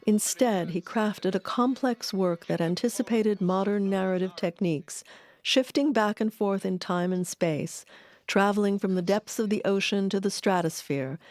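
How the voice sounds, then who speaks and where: A woman reading scripted documentary narration in a measured, articulate way. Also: mid-range voice, a little mellow, no room sound.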